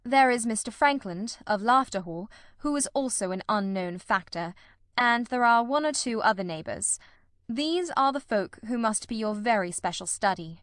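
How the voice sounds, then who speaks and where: A woman voicing a grave, shrewd male detective in a storybook, speaking in precise, deliberate, formal sentences. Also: a little high, expressive, a bit bright, dry recording, studio quality. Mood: measured, analytical.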